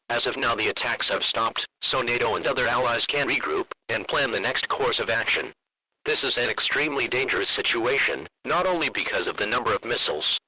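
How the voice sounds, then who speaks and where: A man playing a stern government official, reading formal wartime emergency announcements in a solemn, measured, declarative way. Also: medium pitch, pushed and bright, slightly rough, rough recording.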